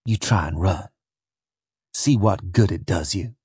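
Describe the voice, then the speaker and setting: A man voicing a bitter, scornful enslaved young woman in an audiobook, speaking softly with weary, sneering resignation. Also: deep voice, unhurried, slightly rough, dry recording, clean studio sound, pitched below the performer's own voice, heavily acted. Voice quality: soft. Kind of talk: audiobook character voice.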